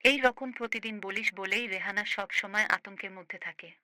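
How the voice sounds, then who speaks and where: A woman's voice: medium pitch, moderate pace, pushed and bright, dry recording, natural voice. A woman playing a firm, caring older sister in a cartoon, lecturing her grown brother in an emphatic, preachy way. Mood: reproving. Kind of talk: amateur cartoon voice acting.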